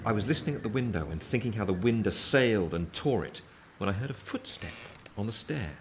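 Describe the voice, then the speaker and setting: Man acting a deep-voiced, grave gentleman narrator. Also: lively intonation, a little fast, background noise, lightly acted. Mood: brooding, tense. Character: grown gentleman recalling his past, solemn and anxious. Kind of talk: scripted literary narration, radio play.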